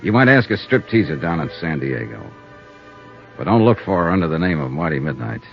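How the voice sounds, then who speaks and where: A wry hard-boiled insurance sleuth. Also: male voice, deep voice, sing-song, a little fast, vocal fry, soft background music, heavily acted. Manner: cool, probing questioning with a world-weary edge. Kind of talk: 1950s radio drama acting and first-person narration. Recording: muffled 1950s radio transcription.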